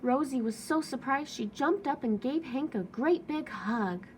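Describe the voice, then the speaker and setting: A woman reading a children's storybook aloud as an expressive narrator. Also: sits high, measured pace, slight background noise.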